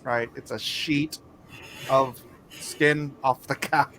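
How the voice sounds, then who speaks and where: Casual male podcaster, medium pitch, slightly noisy recording. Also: fairly even intonation, slow pace, fairly bright tone. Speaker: podcast co-host. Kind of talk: casual chat.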